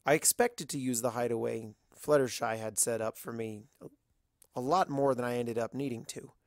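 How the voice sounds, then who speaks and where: A narrator reads a scripted story at a medium pitch. The sound is dry, with very little room in it. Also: fairly even intonation, moderate pace, no room sound. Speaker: fan fiction narrator.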